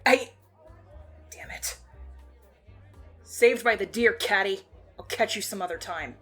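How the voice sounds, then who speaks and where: Woman acting a husky, gruff teenage girl. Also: medium pitch, takes it slowly, a bit bright, faint music behind. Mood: angry. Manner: gruff, blunt. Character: gruff, hot-tempered, tough teenage girl. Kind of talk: audio roleplay acting.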